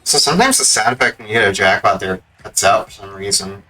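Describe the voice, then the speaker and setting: A man's voice: fairly low pitch, expressive, fast talker, sharp tone. A man giving casual live gameplay commentary, exclaiming and mildly swearing at the game as he talks to it. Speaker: video game streamer. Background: video game music.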